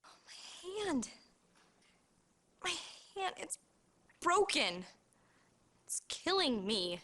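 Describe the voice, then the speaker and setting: An actress playing an injured woman with pained, drawn-out speech in a video game cutscene. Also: very high-pitched, sing-song, sharp tone, a little hiss or hum, full character voice. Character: injured woman in throbbing pain. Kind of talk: full-motion-video game acting.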